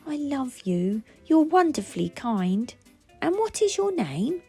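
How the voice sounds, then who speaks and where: A woman's voice: high-pitched, very animated, measured pace, warm tone, faint music behind, pitched above the performer's own voice, full character voice. A woman voicing an eager, sweet-natured young child in a storybook, coaxing and pleading. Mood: affectionate. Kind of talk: storybook character voices.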